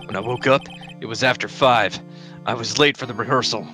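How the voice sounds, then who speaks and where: A man's voice: medium pitch, a little fast, soft background music, lightly acted. A man doing a sheepish action-show star. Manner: soft, sheepish, plaintive. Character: gentle, mopey male action-show star.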